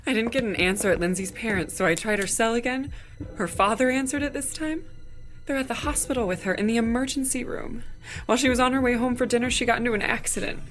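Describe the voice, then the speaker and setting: A woman's voice: fairly high pitch, quick pace, faint music behind, natural voice. A woman playing a concerned theatre worker with a plain, serious delivery in an audio drama. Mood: worried.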